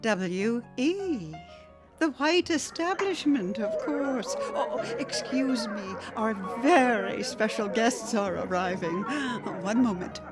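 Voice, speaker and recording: A woman's voice: fairly high pitch, lively intonation, takes it slowly, over music. An actress playing a gushing, smug establishment woman hosting a celebration in a satirical audio drama. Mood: gushing, excited. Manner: theatrical, polite society hostess.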